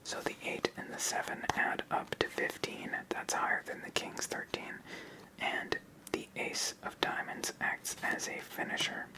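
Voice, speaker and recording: A person whispering throughout in an ASMR style, calmly explaining a card game step by step as its host. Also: unhurried, low-quality recording. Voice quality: whispered, unvoiced.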